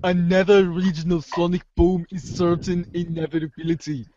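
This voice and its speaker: A man's voice: high-pitched, flat delivery, normal speed, warm tone, lo-fi sound, slight background noise, natural voice. A man voicing a haughty, wordy teenage girl with pompous, florid phrasing in an amateur comic script reading. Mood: grandiose. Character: haughty, verbose teenage girl, snarky intellectual.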